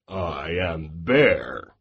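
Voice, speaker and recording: A big, bragging bear who drags out every word, as a man puts him on. The voice sits low and a little husky, and it swings widely as he boasts. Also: very animated, phone-quality sound, full character voice. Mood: boastful. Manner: slow, deep, booming brags.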